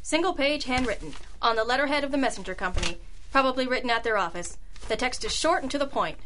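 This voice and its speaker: A woman's voice: on the high side, expressive, fast, pushed and bright, slight background noise. A woman playing a wry, tough girl detective in an old-time noir radio drama, sardonic and knowing. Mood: businesslike.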